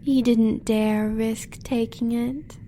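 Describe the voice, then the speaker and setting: An American woman playing a sly, devoted werewolf huntress in an audio roleplay, teasing and breathy. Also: on the high side, fairly even intonation, warm tone, slight background noise. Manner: teasing, breathy, drawn-out delivery.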